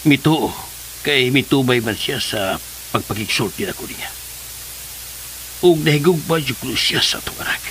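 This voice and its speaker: Insistent bright-voiced Cebuano father. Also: medium pitch, lively intonation, moderate pace, pauses often, a little hiss or hum, rough recording. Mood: calm, assured. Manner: insistent, questioning. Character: earnest, probing father of a runaway woman, middle-aged. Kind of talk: Filipino radio drama acting. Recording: music under the dialogue.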